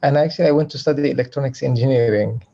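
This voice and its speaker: A man's voice: mid-range voice, racing, creaky, mellow. A man from Palestine, around forty, answering as a film director on a festival panel, hesitant and full of restarts. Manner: hesitant, many fillers and restarts. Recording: dull, muffled sound.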